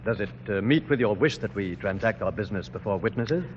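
Casting: man playing genteel war-weary general, droll, lively intonation, muffled vintage radio sound. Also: lowish voice, fairly quick, natural voice. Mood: urbane, droll. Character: urbane, war-weary enemy general, drily humorous. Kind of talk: 1940s-50s radio drama acting. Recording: dull, noisy old radio recording.

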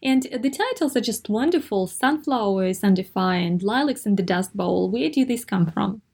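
A woman interviewing an author, asking halting questions full of fillers. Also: medium pitch, animated, gravelly, a little mellow, husky.

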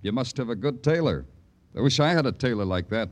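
An American actor playing a dry, wry police lieutenant in a 1950s radio crime drama, with clipped, sardonic, deadpan delivery. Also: male voice, medium pitch, fairly quick, lightly acted. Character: dry, wry male New York police lieutenant narrator. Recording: vintage radio recording with music behind.

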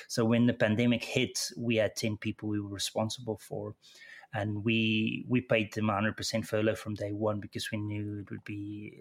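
Gravelly low-voiced South African man. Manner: halting, often repeats words while restarting. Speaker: interview guest. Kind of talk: personal account in conversation.

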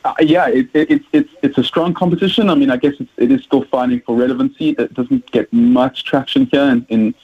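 A man's voice: medium pitch, fairly even intonation, a little fast, hardly any pauses, husky. A South African man, a rugby journalist, answering interview questions with frequent hesitations and repeated words. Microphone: phone. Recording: tinny phone line.

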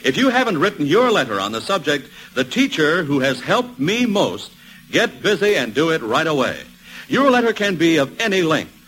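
A man's voice: a little high, big pitch swings, normal speed, fairly bright tone, a little hiss or hum, poor audio. A man with an American accent reading scripted 1940s radio announcements as an instructive commercial announcer.

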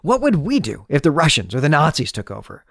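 Guy doing a book analysis podcast, really lively up and down pitch, explains stuff, close dry recording. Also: brisk, gravelly, dry recording. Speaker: podcast host. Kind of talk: literary analysis podcast monologue.

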